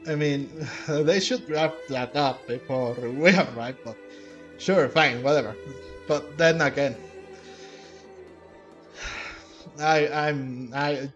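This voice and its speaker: A man giving casual, halting game commentary and reactions, often with a chuckle. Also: fairly high pitch, a bit bright, muffled recording, soft background music. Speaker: gameplay streamer.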